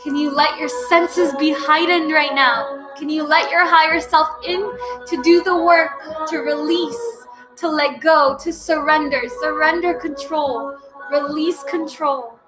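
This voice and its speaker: A woman leading a guided breathwork session, coaching slowly and soothingly, with repeated prompts and audible sighing breaths. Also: high-pitched, steady tone. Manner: slow, soothing, repetitive coaching with loud breathing sighs. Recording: music playing behind.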